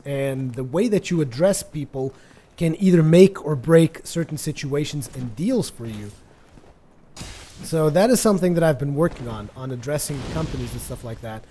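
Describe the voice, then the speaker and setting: Higher-pitched man, mellow, chatty. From Portugal. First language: Portuguese. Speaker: gaming video host. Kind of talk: casual chatty gameplay commentary.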